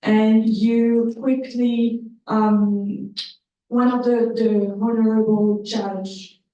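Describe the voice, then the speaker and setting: A woman's voice: a little high, flat delivery, extremely slow, warm tone, muffled recording. A young woman from Congo, a master's student, asking a panel polite, hesitant questions. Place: law school lecture room. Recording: echoey room, far from the mic.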